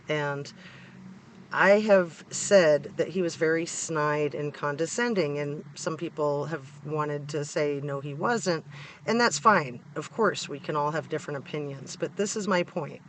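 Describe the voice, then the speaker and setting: Hoarse, higher-pitched middle-aged American man. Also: fairly bright tone, slight background noise. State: hoarse, congested from a cold. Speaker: true-crime vlogger. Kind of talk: casual opinion commentary to viewers. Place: inside a parked car.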